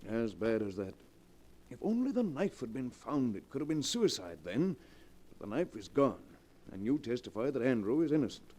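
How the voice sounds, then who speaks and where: A mellow voice plays a concerned doctor and loyal friend. He pleads earnestly with wide swings in pitch, in the style of a 1940s radio play. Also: very animated, moderate pace. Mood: grave sympathy. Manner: urgent, pleading, sincere. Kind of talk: 1940s radio drama acting.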